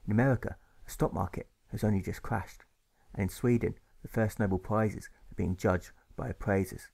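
A man reading scripted rhyming narration in a measured, rhythmic way. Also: on the low side, fairly even intonation, gravelly, darkish tone. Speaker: author reading his own audiobook.

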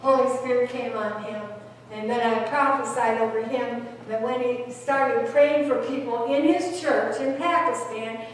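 High-voiced woman preaching in an echoey church. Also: fairly even intonation, a little slow, a little hiss or hum. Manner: chanting, shouting "more, Lord" in bursts. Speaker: pastor and prophet. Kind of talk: Pentecostal sermon. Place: small church sanctuary. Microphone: handheld mic, far camera. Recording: echoey hall recorded from far off.

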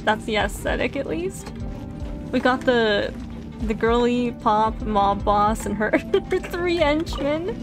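A woman's voice: on the high side, slow. A young woman from Texas with a light southern accent, chatting casually and giggling while playing a game. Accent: Texan. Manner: giggly, chatty, frequent laughs. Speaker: VTuber streamer. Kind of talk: casual gameplay chat. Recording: game music behind. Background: lofi background music.

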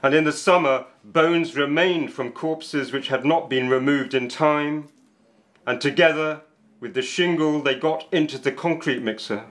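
A middle-aged man, a poet, giving a deliberate, solemn reading of his work in a small cafe. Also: on the high side, fairly bright tone. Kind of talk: dramatic poetry reading for two voices. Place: small indoor cafe with bookshelves.

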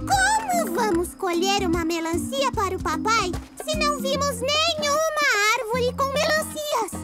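A tiny, extremely high girl owl from a children's cartoon, speaking with a Brazilian accent. She is curious and childlike, with slow, lively delivery over music. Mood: earnest. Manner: childlike, emphatic. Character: curious, cheerful little girl owl, sweet leader. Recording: music behind the voice.

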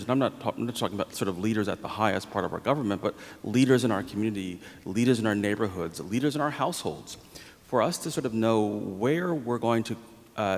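A man with a low voice speaks earnestly on a panel, often pausing on um. The sound carries the long reverb of a large theater stage. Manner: earnest, with many um fillers. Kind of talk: panel discussion.

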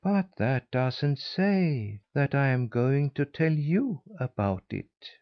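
A man voicing a sly, quietly spiteful male cat who speaks softly, in a storybook reading. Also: medium pitch, sing-song, lo-fi sound, voice pushed up. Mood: coy refusal. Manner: soft-spoken, unhurried.

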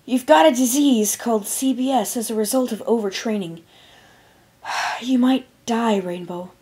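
Serious unicorn mare for a cartoon story, lightly raised pitch, careful delivery, voiced by a woman. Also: animated, a little mellow, slightly rough. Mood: grim, gentle. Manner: measured, cautious. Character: careful, grave young female unicorn.

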